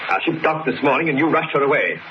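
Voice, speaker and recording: An actor playing a stiff, upright lieutenant in an old radio horror drama, speaking in an earnest, formal way. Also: male voice, fairly high pitch, lively intonation, fast, lightly acted. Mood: cold, resolute. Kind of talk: 1930s-40s radio horror acting. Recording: muffled, noisy old radio recording.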